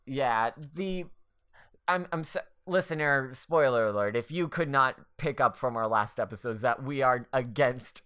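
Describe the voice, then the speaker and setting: A young American woman with an exceptionally deep voice for a woman. She co-hosts a casual, funny movie podcast, talking in a sarcastic, exasperated way and swearing freely. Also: a little slow, fairly bright tone, smooth. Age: 20s. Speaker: podcast co-host. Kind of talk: casual comedic movie discussion.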